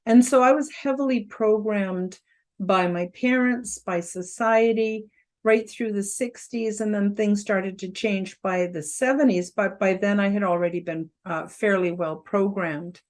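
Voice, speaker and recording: An outspoken woman around seventy speaks in a loud, carrying voice at a middling pitch. She talks casually, with frequent fillers and emphatic rises when she makes a point. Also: measured pace. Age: about 70. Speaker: host giving a self-help presentation. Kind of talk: casual spoken presentation to camera.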